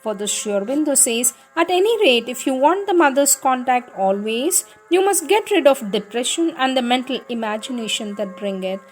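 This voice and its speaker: A woman reading spiritual letters aloud in a devotional style, with deliberate delivery. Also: high voice, expressive. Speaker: reader of spiritual teachings. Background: music in the background.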